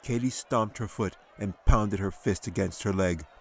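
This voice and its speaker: A man reads a fantasy audiobook in a low-pitched voice. His delivery is measured and dramatic, in the manner of scripted storytelling. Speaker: author reading own audiobook.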